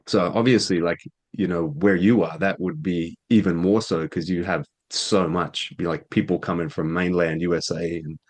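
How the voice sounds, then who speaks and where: Male radio host, low voice, relaxed casual questions, lots of fillers. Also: moderate pace, hardly any pauses. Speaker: interviewer, radio show host. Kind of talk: casual conversational interview.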